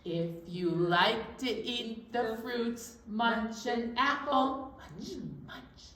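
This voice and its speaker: A woman with a fairly high, unhurried voice leads a children's storytime in an animated, simple way. She moves between talk and sing-along songs. The recording is roomy and picked up from a distance, with faint background noise. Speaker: storytime presenter at a library.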